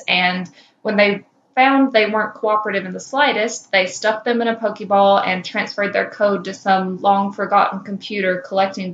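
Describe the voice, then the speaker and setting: A small, cute survivor of a cursed kind read by a woman tells a grim fan fiction tale in a measured, somber way. The sound is slightly dull and echoing, with faint noise behind it. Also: mid-range voice, a bit bright, natural voice. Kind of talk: scripted first-person fan fiction reading. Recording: muffled, roomy, noisy home recording.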